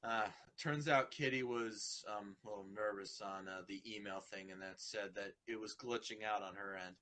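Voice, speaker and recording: A man's voice: fairly low pitch, steady tone, normal speed, bright, forceful tone. A man hosting an online group call in casual chat, rambling and stammering, easily distracted.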